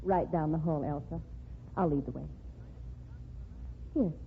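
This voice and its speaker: A woman's voice: deep voice, measured pace. An actress in an old-time radio mystery playing an earnest, outspoken and fiercely protective woman with an intense manner. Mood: uneasy. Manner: intense, dramatic. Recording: tinny, hissy old radio recording.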